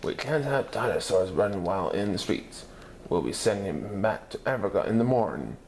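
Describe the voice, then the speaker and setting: A man's voice: lowish voice, lively intonation, normal speed, a little mellow, a little hiss or hum. A man voicing a firm storybook police chief who is apologetic but unbending.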